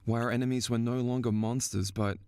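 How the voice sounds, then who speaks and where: A man's voice: medium pitch, steady tone, brisk, darkish tone, smooth, no echo, clean studio sound, close to an everyday voice. A man playing a somber, resolute warrior narrating his memories in a game fandub. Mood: grave, resolute. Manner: solemn, earnest. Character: somber, resolute young warrior recalling a war. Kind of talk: dramatic scripted game narration.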